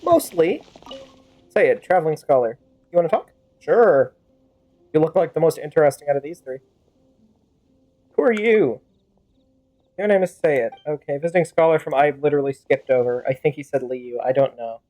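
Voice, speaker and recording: A woman's voice: deep voice, expressive, normal speed, pauses often, warm tone. A woman streaming a game with casual, rambling, playful commentary and sudden exclamations. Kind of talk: casual game commentary with in-character fan-dub banter.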